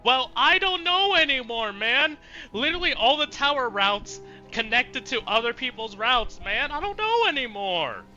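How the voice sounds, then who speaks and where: Animated, high-pitched man streaming a game. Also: moderate pace, muffled recording, faint music behind. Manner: exasperated, reactive, swears freely. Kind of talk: let's play live commentary, casual and profane.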